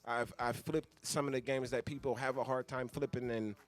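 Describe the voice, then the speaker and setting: A man's voice: medium pitch, fairly even intonation, moderate pace. A man talking casually as a guest on a talk show, blunt and slangy, with a lot of swearing. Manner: blunt, heavily profane, slangy. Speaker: guest, avid gamer.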